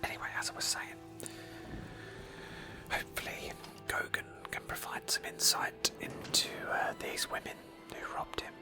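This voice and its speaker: A woman narrating a roleplay game playthrough in a breathy ASMR whisper, slowly and with pauses. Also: music in the background.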